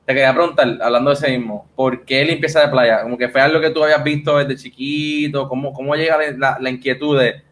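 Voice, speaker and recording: A man's voice: mid-range voice, steady tone, fast talker, few pauses, fairly bright tone. A young man with a Puerto Rican accent interviewing casually as a podcast host, in informal, colloquial speech. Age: young adult. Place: indoor room on a video call. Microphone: studio microphone on a boom, headphones. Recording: faint background noise and ambience.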